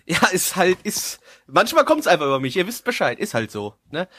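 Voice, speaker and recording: A man hosting a casual anime review chat, talking in a halting, joking, self-mocking way. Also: high voice, huge pitch swings, a bit bright. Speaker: host of an anime review show.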